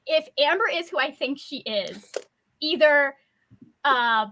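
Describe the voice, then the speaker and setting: A woman's voice: high voice, lively intonation, takes it slowly, bright, forceful tone. A woman co-hosting a casual livestream book discussion in a playful, wry way.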